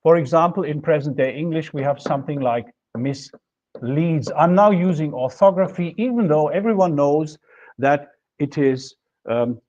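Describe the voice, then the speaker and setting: A middle-aged man lectures in a classroom, explaining points step by step as he writes on a board. His voice sits a little high for a man. The sound is dull and lo-fi, picked up by a camera across the room. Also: darkish tone. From Germany. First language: German. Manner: measured, explanatory, writes on a board while talking. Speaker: linguistics lecturer. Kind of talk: recorded university lecture. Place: classroom with a projection screen.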